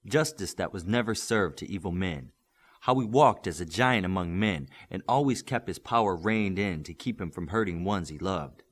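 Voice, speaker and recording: A man giving a scripted narration of a novel as an audiobook reader. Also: lowish voice.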